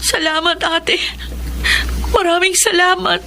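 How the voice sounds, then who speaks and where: Casting: frightened, stubborn young mother-to-be, extremely high pitch, pleading radio soap delivery. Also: flat delivery, takes it slowly, creaky, loud music behind the voice, exaggerated voice. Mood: subdued, grateful. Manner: tearful, pleading, emotional. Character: pregnant young daughter, fresh graduate, frightened but stubborn. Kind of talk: melodramatic radio drama acting.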